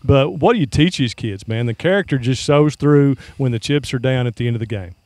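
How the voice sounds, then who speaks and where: A man's voice: medium pitch, a little fast, a little mellow, no room sound. A man, a local sports broadcaster doing a postgame interview outdoors by a river at night, folksy and friendly, calling people "man".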